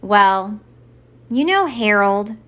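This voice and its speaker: A woman's voice: mid-range voice, expressive, very slow, sharp tone, exaggerated voice. A woman voicing a mopey, suspicious, stubborn old Santa Claus in a children's storybook, dramatic and insistent. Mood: glum, sighing. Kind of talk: expressive children's storybook read-aloud.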